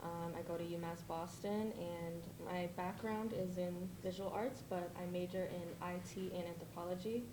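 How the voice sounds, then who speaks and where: A woman's voice: lowish voice, steady tone, moderate pace, few pauses. A woman in her twenties introducing herself as a panelist, earnest and plain. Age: 20s. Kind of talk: self-introduction. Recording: echoey room with background noise.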